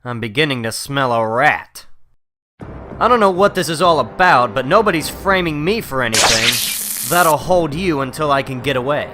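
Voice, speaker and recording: Determined wisecracking superhero , male reader, melodramatic thinking aloud, lively, echoey room, comic-book drama. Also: medium pitch, moderate pace, background noise, lightly acted. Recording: roomy, distant mic, loud music underneath.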